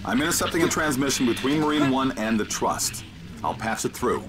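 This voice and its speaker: Man acting a game mission guide, grave, urgent, instructive, deliberate delivery, prominent musical score behind. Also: medium pitch, music in the background, natural voice. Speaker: mission guide over radio.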